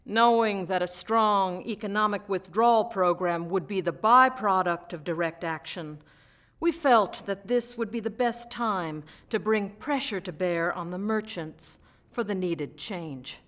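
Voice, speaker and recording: A woman's voice: mid-range voice, unhurried, a bit bright. A woman reading a historic letter aloud as scripted text.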